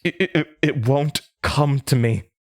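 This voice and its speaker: A man voicing a worn, frightened woman nurse, scattered and halting, in a horror audio drama. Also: mid-range voice, pitched above the performer's own voice, close to an everyday voice. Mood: distraught, pleading. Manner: stammering, halting.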